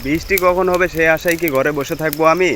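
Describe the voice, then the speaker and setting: A man's voice: fairly high pitch, steady tone, fast, a bit bright, natural voice. Man acting a village husband, rain noise behind. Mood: homely, chatty. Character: frightened, brooding village husband in a ghost tale. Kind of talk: Bengali cartoon story acting. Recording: noisy, rain and ambience behind voice.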